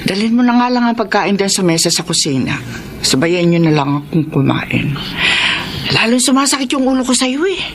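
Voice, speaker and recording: A woman playing a crabby, lonely elderly retired teacher with a Filipino accent in a radio drama, grumpy but secretly soft. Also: medium pitch, lively intonation, moderate pace, noisy background, natural voice. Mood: irritable. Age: older. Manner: grumpy, scolding.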